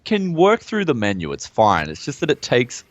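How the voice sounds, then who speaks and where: A man, co-hosting a casual tech chat in a relaxed, rambling way. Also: normal speed, no echo. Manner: relaxed and rambling, pitch jumps up when excited. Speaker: co-host. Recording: dull, dry lo-fi sound.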